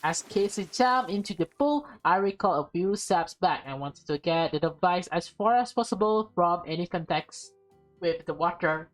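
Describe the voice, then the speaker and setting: A woman doing a casual let's-play, reading a visual novel aloud haltingly, with stumbles, self-corrections and offhand commentary. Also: on the low side, animated, soft background music.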